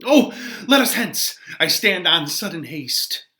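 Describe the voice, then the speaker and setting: Man acting impulsive young Romeo, animated and insistent. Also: a little high, slow pace, reverberant, voice pushed up, heavily acted. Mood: urgent. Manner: theatrical, insistent. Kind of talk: dramatic Shakespeare reading.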